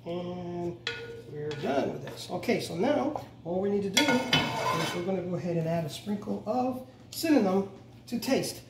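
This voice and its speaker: A man's voice: high voice, unhurried, vocal fry. Middle-aged man teaching a home recipe. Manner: relaxed, instructive, self-correcting, repeats "go ahead and". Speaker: home cooking show host. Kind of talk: casual step-by-step cooking demonstration. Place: large bright home kitchen, indoors. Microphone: camera mic from across the kitchen. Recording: roomy, distant, noisy kitchen audio.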